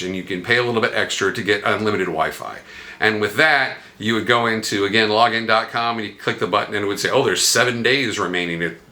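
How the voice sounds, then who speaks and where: A man's voice: lowish voice, bright, forceful tone. A man hosting a vlog, explaining things casually and conversationally, sometimes asking himself questions aloud. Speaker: vlog host.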